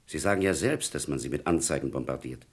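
An actor playing a demanding, sceptical police superior, gruff and authoritative, in a vintage radio crime drama. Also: male voice, extremely low pitch, animated, fast talker, heavily acted.

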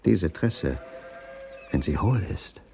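A troubled husband in a radio crime play, brooding and questioning. The voice is low and dark with heavy vocal fry, and music plays faintly behind it. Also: male voice, lively intonation, slow, exaggerated voice. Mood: brooding, realising. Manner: pondering, questioning. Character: brooding, bewildered husband uncovering his wife's secret. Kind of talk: radio crime drama dialogue and inner monologue.

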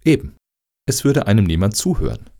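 Combative, self-pitying campaigner played by a man, animated lowish voice, satirical radio drama. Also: fairly quick, creaky, exaggerated voice. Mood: defiant, self-justifying. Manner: argumentative, provocative. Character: combative male activist promoting boxing for offenders, defiant, self-pitying. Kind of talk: satirical radio play dialogue.

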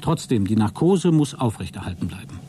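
An actor playing a deluded, exhausted astronaut who keeps a logbook in a radio play, halting, self-justifying and grandiose. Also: male voice, on the low side, big pitch swings, dark tone, a little hiss or hum. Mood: weary, uneasy. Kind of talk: dramatic radio play monologue.

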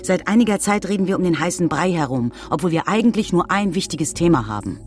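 Eine Schauspielerin spielt in einem Krimi-Hörspiel eine verbitterte, eifersüchtige Frau, die knapp, stichelnd und vorwurfsvoll spricht. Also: female voice, on the low side, animated, fast, soft background music, close to an everyday voice. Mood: accusing. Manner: curt, needling, accusatory. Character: bitter, confrontational woman, jealous of her housemate. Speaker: actress in radio play. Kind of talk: German radio crime drama dialogue.

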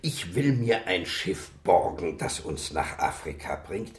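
A man playing a kindly, unworldly animal doctor in a children's radio play, musing gently. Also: low-pitched, lively intonation, measured pace, reverberant. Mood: musing, hopeful. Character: kindly, unworldly animal doctor, absent-minded about money.

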